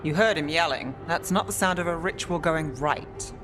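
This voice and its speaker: Lady as shrewd investigator, really low female voice, dry, direct, faint music, fantasy game. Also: moderate pace, lightly acted. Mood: dry, observant. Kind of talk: naturalistic game dialogue.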